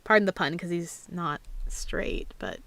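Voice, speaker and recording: Clear-voiced young woman chatting casually. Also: fairly low pitch, sing-song, a little slow, a bit bright, no echo. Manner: chatty, rambling. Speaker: host, amateur voice actor. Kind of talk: casual livestream Q&A chat.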